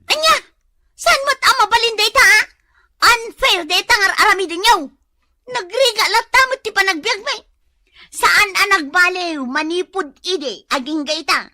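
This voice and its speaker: Whiny broke mom, filipino accent, high sharp voice, dry close mic. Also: female voice, a little slow, no echo, exaggerated voice. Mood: pleading. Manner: whiny, pleading, emotional. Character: poor, pleading, dramatic mother. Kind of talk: comedic radio drama acting.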